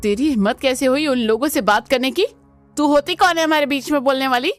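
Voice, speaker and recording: A woman's voice: sits high, brisk, no echo, faint music behind, natural voice. A woman voicing a spiteful, snobbish young older sister in a Hindi cartoon, with an exaggerated, sneering manner. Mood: angry. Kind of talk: Hindi family cartoon voice acting.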